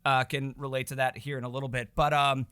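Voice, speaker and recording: A man co-hosting a podcast in casual chat, a little hoarse, chatty and excitable. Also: medium pitch, fast talker. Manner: chatty, excitable, self-mocking. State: slightly hoarse. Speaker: podcast co-host.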